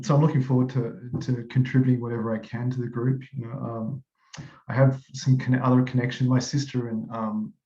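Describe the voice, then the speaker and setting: A man's voice: mid-range voice, darkish tone, lo-fi sound. A man in his forties with an Australian accent, casually introducing himself to a working group in a friendly, informal way. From Perth, Western Australia.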